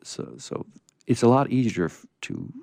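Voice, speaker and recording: A man hosting a radio storytelling show in casual, unscripted chat, rambling and halting in a folksy way. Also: medium pitch, wildly swooping pitch, creaky, a little mellow, no room sound.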